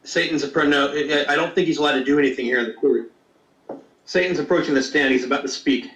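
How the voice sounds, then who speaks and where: A man hosting a casual talk show, cracking crude jokes and talking in halting, filler-laden bits. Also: a little high, a bit bright. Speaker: podcast host. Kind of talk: casual joking chat. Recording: echoey, distant, dull lo-fi call audio.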